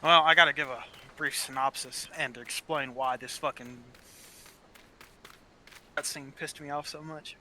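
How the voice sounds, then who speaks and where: A man hosting a gaming video with casual, blunt, sweary commentary and animated storytelling. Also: a little high, a little slow, long pauses, slight background noise. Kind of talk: casual gameplay chat and commentary.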